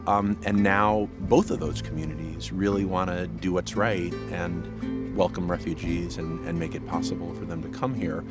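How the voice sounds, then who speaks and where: A man with an American accent, a professor speaking off the cuff in an interview. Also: low-pitched. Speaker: history professor. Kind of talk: spontaneous interview talk. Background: guitar music.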